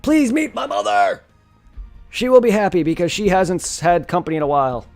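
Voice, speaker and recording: A man's voice: high voice, big pitch swings, fairly quick, a little mellow, soft background music, voice pushed up. A man reading the lines of an eager young male knight in a video game. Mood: cheerful.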